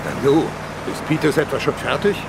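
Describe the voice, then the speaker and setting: An actor playing an authoritative, gruff senior police chief in a radio drama, with a brusque, commanding manner. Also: male voice, medium pitch, lively intonation, a little fast, very noisy, lightly acted. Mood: grumbling. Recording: loud vehicle and outdoor ambience behind.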